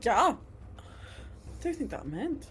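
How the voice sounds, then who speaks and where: A woman's voice: sits high, sing-song, measured pace, noisy background. A woman playing in a tabletop game, chatting in character in a bubbly, cheerful, slightly ditzy way. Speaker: player. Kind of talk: casual in-character table chat.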